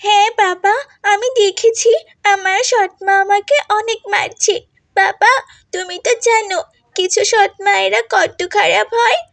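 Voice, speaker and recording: A woman's voice: very high voice, fairly even intonation, measured pace, gravelly, fairly bright tone, dry recording, heavily acted. A woman voicing a stubborn, distrustful seven-year-old village girl in a cartoon, childlike and insistent. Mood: pleading, earnest. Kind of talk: animated moral story voice acting.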